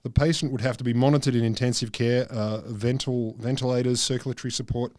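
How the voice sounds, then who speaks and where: A middle-aged man co-hosting a casual science podcast, explaining from notes in a halting, dryly joking, sweary way into a close microphone. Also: medium pitch, fairly even intonation, a little mellow, no echo. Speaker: podcast co-host. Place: small book-lined room, indoors. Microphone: close podcast microphone, headphones.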